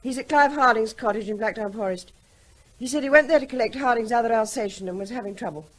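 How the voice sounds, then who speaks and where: A woman's voice: on the high side, fast talker, close to an everyday voice, poor audio. An actress playing a resentful wife who needles her husband with cool remarks in a radio drama. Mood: needling. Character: resentful wife of a vet, sharp-tongued.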